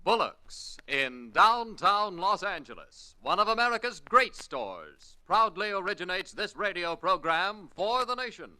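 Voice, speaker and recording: A male announcer delivers scripted copy in vintage radio style. His voice is forceful, very bright and crisply resonant. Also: high-pitched, big pitch swings, measured pace, hardly any pauses, poor audio.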